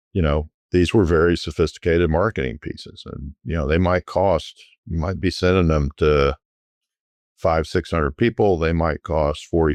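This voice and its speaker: A middle-aged man, a guest recalling his years selling private jets, talking in a relaxed, rambling way with frequent restarts. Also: a bass voice, no room sound. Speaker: guest, former private jet sales executive. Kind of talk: conversational storytelling.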